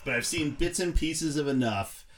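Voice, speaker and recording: A man's voice: fairly high pitch, moderate pace. A man giving casual, blunt and critical film opinions as a podcast panelist.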